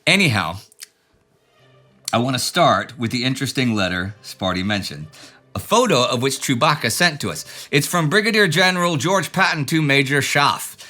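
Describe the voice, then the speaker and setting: A man's voice: mid-range voice, lively intonation, normal speed, sharp tone, faint music behind. A man hosting a show, reading out viewer letters and comments with dry, joking asides. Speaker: show host.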